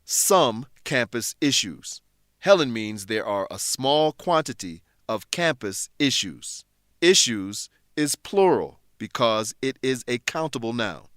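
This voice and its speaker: Guy narrating an english lesson, explains clearly, really varied intonation, dry sound. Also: medium pitch, expressive, slow, a bit bright, no echo. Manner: explanatory, clearly phrased for learners. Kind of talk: scripted educational narration.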